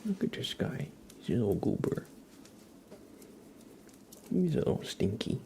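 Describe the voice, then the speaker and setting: A man hosting an ASMR livestream, chatting casually and playfully in a soft voice that often slips into whispers. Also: lowish voice, sing-song, measured pace, vocal fry, a little hiss or hum. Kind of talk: ASMR, casual chat to viewers and a pet cat.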